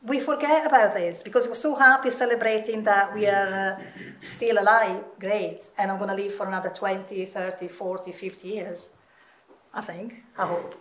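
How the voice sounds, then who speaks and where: A woman's voice: medium pitch, fairly even intonation, moderate pace, a bit bright. A middle-aged woman with a Southern European accent, giving an informal seminar talk in a candid, emphatic manner. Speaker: seminar speaker, researcher. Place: indoor seminar room with seated audience. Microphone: microphone on a stand. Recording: echoey room, some distance from mic.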